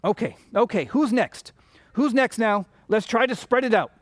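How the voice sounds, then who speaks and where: Casting: actor as earnest, flustered young male banker in a radio play, very lively intonation. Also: medium pitch, moderate pace, dry recording, close to an everyday voice. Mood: reassuring. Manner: earnest, stammering. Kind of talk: radio drama acting.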